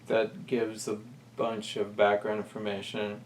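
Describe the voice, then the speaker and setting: A man's voice: fairly low pitch, completely flat delivery, a little slow, a little mellow. A man talking casually as a co-host, feeling out his words and correcting himself as he goes. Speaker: podcast co-host. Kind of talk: casual conversation. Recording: distant mic, faint background noise.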